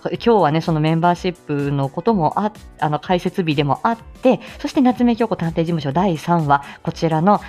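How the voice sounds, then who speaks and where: Lively middle-aged woman chatting over soft music. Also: on the low side. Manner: chatty, giggly, polite, speaks to listeners by turns. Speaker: host of a live audio show; speech-language therapist. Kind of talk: casual morning livestream chat with announcements.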